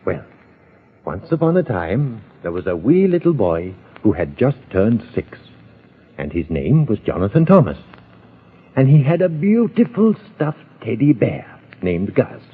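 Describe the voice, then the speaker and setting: An actor playing a gentle, indulgent father telling a bedtime story in a 1930s radio drama. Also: mid-range voice, sing-song, husky, heavily acted. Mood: gentle, playful. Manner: playful, coaxing, drawn-out storytelling. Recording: tinny old radio recording.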